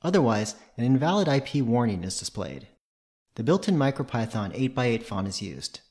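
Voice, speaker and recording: A man's voice: medium pitch, very animated, normal speed, darkish tone. A man presenting a tutorial, reading scripted technical narration in a methodical, matter-of-fact way.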